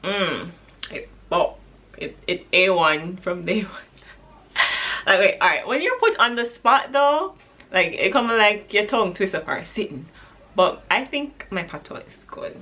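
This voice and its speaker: A woman's voice: medium pitch, very animated, unhurried, pushed and bright. A woman from Jamaica with a Jamaican accent, candidly chatting through a question-and-answer vlog, with easy laughs. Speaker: vlogger.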